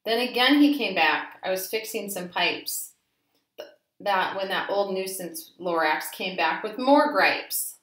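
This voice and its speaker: A woman reading a rhyming children's story aloud in an expressive, emphatic, rhythmic way. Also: mid-range voice, unhurried. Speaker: storybook reader. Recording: roomy echo.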